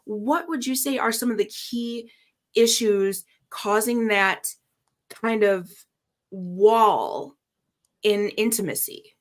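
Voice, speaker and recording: A woman's voice: medium pitch, slow. A woman hosting a podcast interview, chatting casually in an agreeable, affirming manner. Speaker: podcast host.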